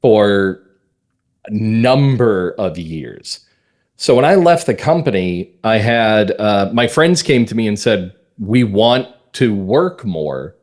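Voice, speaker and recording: A man's voice: lowish voice, expressive, takes it slowly, a little mellow. A middle-aged man talking at length in a conversational interview, explaining things as a guest. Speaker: guest, mystery playwright and script consultant.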